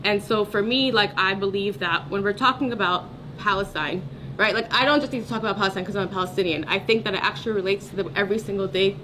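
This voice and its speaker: A woman's voice: mid-range voice, fast talker. A woman speaking as a political candidate in a casual, earnest, emphatic interview style. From New York City. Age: 30s or older. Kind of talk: casual conversational advocacy. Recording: faint background ambient noise.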